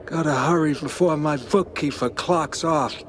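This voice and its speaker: An actor playing a smooth, businesslike hitman in a noir game, polite, breezy and mocking. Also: a little high, a little slow, a little hiss or hum, close to an everyday voice. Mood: breezy, dismissive.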